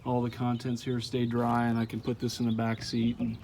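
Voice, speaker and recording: A man's voice: medium pitch, a little fast, vocal fry, slight background noise. A man giving a casual, folksy how-to walkthrough of a truck install outdoors, with frequent "uh" fillers. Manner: relaxed, lots of "uh" fillers, folksy. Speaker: truck owner showing an install.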